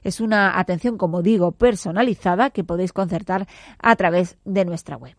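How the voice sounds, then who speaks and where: A woman's voice: on the low side, normal speed, dry recording, studio quality. A woman hosting a podcast interview and putting questions to a guest.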